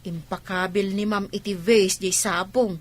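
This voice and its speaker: A woman's voice: medium pitch, fairly even intonation, measured pace, close to an everyday voice. A woman playing an inquisitive, gossipy office worker in an Ilocano radio drama. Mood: gossipy, curious.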